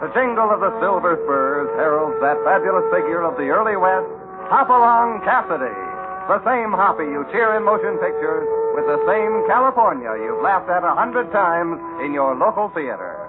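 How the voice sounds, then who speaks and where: A man's voice: high-pitched, very animated, moderate pace. An American man announcing an old-time radio serial in a grand, declamatory style. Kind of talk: 1940s-50s dramatic radio announcing. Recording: tinny old radio recording with loud music. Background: loud music.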